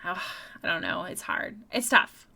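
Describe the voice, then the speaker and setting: A woman's voice: on the low side, wildly swooping pitch, a little slow, creaky, pushed and bright. A young woman streaming her artwork, chatting casually with viewers and often stumbling over and repeating her words. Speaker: streaming artist. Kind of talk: casual live-stream chat while drawing.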